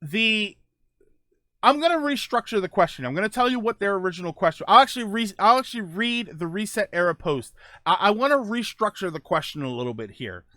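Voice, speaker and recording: A man's voice: sits high, very animated, brisk, bright, forceful tone, dry recording, clean studio sound. A man hosting a casual live stream, thinking aloud while reading viewer comments, often restarting his sentences. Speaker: streamer host reading chat.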